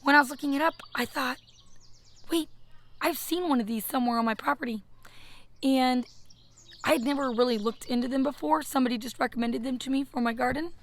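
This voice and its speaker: A woman with a hoarse, scratchy voice chatting casually and practically about her home garden, outdoors on an earbud mic. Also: high voice, a little fast, pauses often. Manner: chatty, practical, self-deprecating. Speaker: homesteading vlogger. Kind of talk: casual how-to chat. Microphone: wireless earbud mic.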